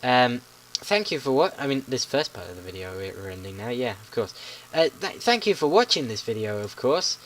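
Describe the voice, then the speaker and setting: A man's voice: medium pitch, sing-song, brisk, fairly bright tone, slight background noise, rough recording. A teenage boy in secondary school giving chatty, polite play-by-play commentary on a video game, often stumbling over his words. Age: teens.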